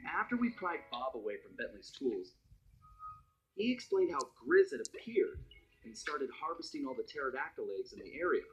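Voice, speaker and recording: A man's voice: mid-range voice, big pitch swings, normal speed, fairly bright tone, rough recording. A man playing an easygoing, roguish raccoon thief, narrating a cartoon game story in a wry, conversational way. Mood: wry, matter-of-fact. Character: roguish anthropomorphic raccoon master thief, adult male, easygoing. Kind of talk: scripted first-person story narration for a cartoon heist game.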